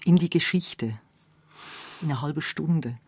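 A woman's voice: low voice, takes it slowly, a little mellow, breathy edge, no echo. A woman hosting a radio magazine, putting questions to her guests in a conversational interview style. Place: dry radio studio.

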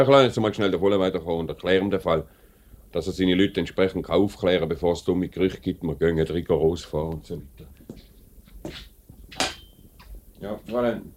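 Deep-voiced Swiss factory director , played by a man. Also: a little mellow, slight background noise, natural voice. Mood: businesslike. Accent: Swiss German. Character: measured, fair-minded male factory director. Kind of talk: 1950s Swiss radio drama acting.

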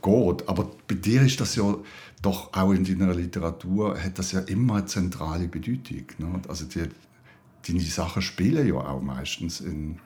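A man's voice: low voice, moderate pace, warm tone. A man with a Swiss accent, chatting casually as an interviewer. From Switzerland. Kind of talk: casual conversation.

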